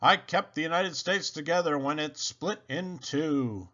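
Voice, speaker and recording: A man's voice: fairly high pitch, measured pace, bright, forceful tone, dull audio, pitched above the performer's own voice. A man voicing a tall, solemn schoolboy playing a president, with a firm oratorical manner, in a storybook.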